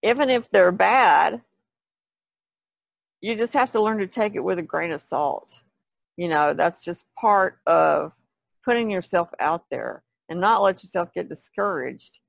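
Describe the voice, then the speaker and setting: Slow, creaky-voiced woman. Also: lowish voice, animated, no room sound. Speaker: podcast panelist, artist. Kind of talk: casual conversational discussion.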